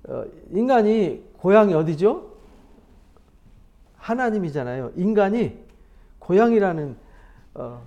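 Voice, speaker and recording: Lively conversational male pastor, mellow tone. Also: sits high, takes it slowly, long pauses, dull audio. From South Korea. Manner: conversational, animated, with laughs and rhetorical questions. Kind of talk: Bible study sermon.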